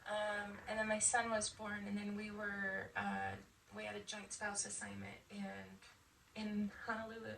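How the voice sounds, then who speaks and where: Middle aged lady chatting about old times, bit creaky voice, faint noise behind. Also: mid-range voice, fairly bright tone. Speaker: veteran being interviewed. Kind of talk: casual recollection. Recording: distant mic with faint background noise.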